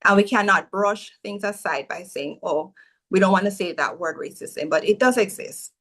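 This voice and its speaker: A woman with a Jamaican accent, an immigration attorney answering interview questions in an earnest, measured way, often restarting her sentences. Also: medium pitch, fairly bright tone. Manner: earnest, measured storytelling with frequent self-corrections.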